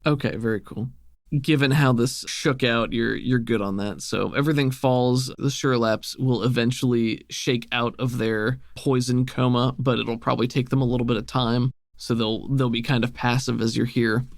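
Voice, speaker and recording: A man's voice: medium pitch, steady tone, normal speed, gravelly, no room sound, studio quality. A man working as game master, casually improvising narration and rulings for a tabletop game.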